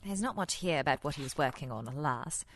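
Woman acting a low-voiced, inquisitive lady spy. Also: animated, fast talker, fairly bright tone. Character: poised, inquisitive female British spy, coolly clever. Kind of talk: scripted radio theatre acting.

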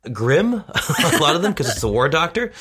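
A man's voice: on the high side, sing-song, moderate pace, pushed and bright, slightly rough. A man who is a podcast guest, chatting casually in an informal, rambling way.

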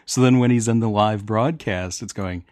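A man's voice: on the low side, normal speed, a little mellow, no echo, studio quality. A man talking casually as a panelist in a group discussion. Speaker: podcast panelist.

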